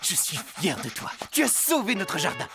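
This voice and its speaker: A man's voice: high voice, big pitch swings, moderate pace, creaky, heavily acted. A man playing a doting, pompous husband and pet owner in a cartoon, theatrical and sweet-talking. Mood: proud. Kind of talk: cartoon voice acting. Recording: loud music behind.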